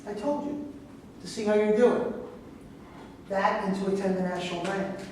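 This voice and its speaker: A woman's voice: mid-range voice, steady tone, normal speed, darkish tone, natural voice. A woman playing an earnest, defensive reporter in a staged play reading, dry and exasperated. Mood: guarded. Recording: echoey room, distant mic, faint noise.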